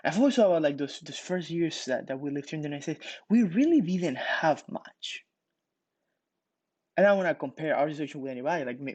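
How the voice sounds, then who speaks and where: A man's voice: on the high side, darkish tone, no room sound. Casual young man giving a devotional. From Ecuador. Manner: casual, many fillers and restarts. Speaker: pastor. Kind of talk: morning devotional.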